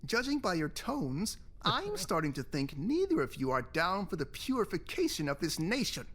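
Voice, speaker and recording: An actor playing a smug, pompous extremist high school teacher in a visual novel game, smarmy and persuasive. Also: male voice, wildly swooping pitch, moderate pace, a bit bright, exaggerated voice.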